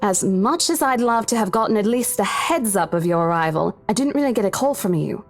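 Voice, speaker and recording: A woman's voice: mid-range voice, expressive, a little fast, faint music behind, natural voice. A woman voicing a caring, responsible young estate agent in a visual novel game, articulate, firm and protective. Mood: concerned.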